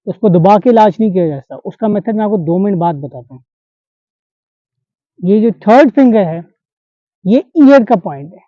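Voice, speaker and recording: A man's voice: sits high, no room sound. Man teaching acupressure to a class. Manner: instructive, checks in with students. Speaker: acupressure instructor. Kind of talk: hands-on demonstration class. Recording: dull lo-fi sound.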